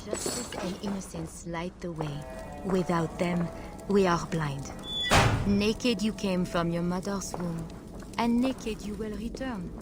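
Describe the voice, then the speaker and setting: An actress playing a solemn religious officiant intoning a ritual in a video game. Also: female voice, on the low side, slow. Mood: solemn, severe. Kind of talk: video game cutscene drama. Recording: very loud music over distant voice.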